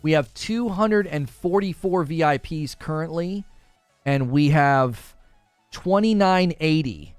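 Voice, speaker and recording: A man with a smooth, clear and slightly high voice hosts a gaming livestream. He talks informally and slangily, speaking straight to his viewers as he reads out their comments. The sound is close and dry. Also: takes it slowly, no echo, faint music behind. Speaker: gaming podcast host and livestreamer. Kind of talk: casual livestream chat, reading viewer comments aloud.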